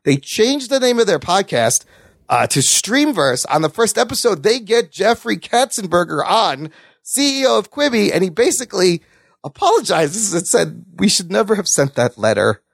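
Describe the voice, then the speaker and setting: Animated, profane man hosting, higher-pitched voice. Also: normal speed, vocal fry, no room sound, studio quality. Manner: excitable, profane. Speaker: podcast host. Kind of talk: casual news chat with co-hosts.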